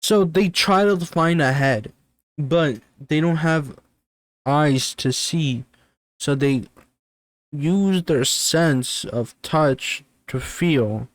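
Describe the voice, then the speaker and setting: Slow-talking man reacting, close and dry. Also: fairly high pitch, no echo. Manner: halting, thinking aloud. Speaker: host reacting to horror videos. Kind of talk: casual reaction commentary.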